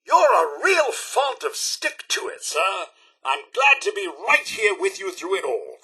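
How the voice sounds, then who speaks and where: A man's voice: high-pitched, huge pitch swings, measured pace, bright, forceful tone, slightly rough, exaggerated voice. A man voicing a prim, fussy robot butler for a video game, with a British accent and a pompous, over-polite, theatrical manner. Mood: eager, polite. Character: prim, fussy, loyal robot butler, male, dryly sarcastic. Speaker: companion character in a video game.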